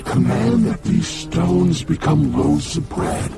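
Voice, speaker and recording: An actor playing a sly, taunting devil in an audio Bible drama, speaking deliberately. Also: male voice, fairly high pitch, expressive, gravelly, loud music behind the voice, exaggerated voice. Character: sly tempter devil issuing a challenge.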